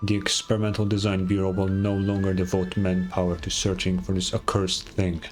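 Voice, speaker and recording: A man plays a proud villain from an anime game. The voice is low, with formal, measured phrasing and bitter menace. Game music runs faintly behind. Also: monotone, darkish tone, natural voice. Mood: bitter, menacing. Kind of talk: anime video game voice acting.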